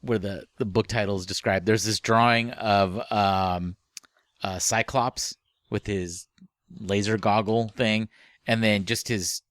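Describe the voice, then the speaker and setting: A man's voice: fairly low pitch, fairly even intonation, unhurried, fairly bright tone, no room sound, studio quality. A man co-hosting a casual book club podcast, speaking informally with a joking, crude sense of humour. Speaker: podcast co-host.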